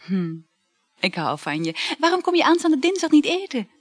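A woman's voice: sits high, very animated, moderate pace, no echo. An actress playing a chatty, flirtatious married woman in a Dutch radio play, effusive and full of sighs. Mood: confiding.

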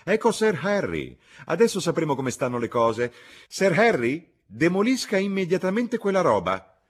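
A man's voice: low-pitched, moderate pace. A man voicing an imperious, blunt middle-aged society matron in an audiobook, with commanding, exclamatory delivery. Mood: indignant, commanding. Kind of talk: audiobook character voice.

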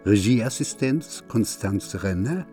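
A man's voice: lowish voice, animated, slow, warm tone. A man reading out the title and credits of a radio play as a scripted announcement. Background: music.

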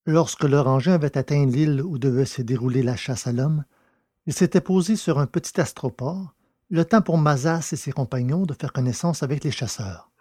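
A man's voice: a little high, moderate pace, dark tone, husky, no room sound, clean studio sound. A man reading scripted science-fiction story narration.

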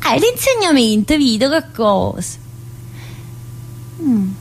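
A woman's voice: high voice, sing-song, a little hiss or hum, heavily acted, low-quality recording. An actress playing a talkative, troubled woman locked in a psychiatric prison, in a radio theatre monologue, with a Neapolitan accent and a colloquial, confiding, rambling manner. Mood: curious, sympathetic. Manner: colloquial, confiding, rambling, with abrupt pauses and interjections.

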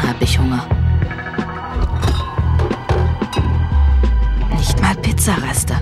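An actor playing an indignant, streetwise twelve-year-old boy on the run, muttering his thoughts to himself in a radio drama. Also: mid-range voice. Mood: awed, then alarmed. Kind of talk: radio drama monologue, thinking aloud. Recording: studio radio drama with loud music.

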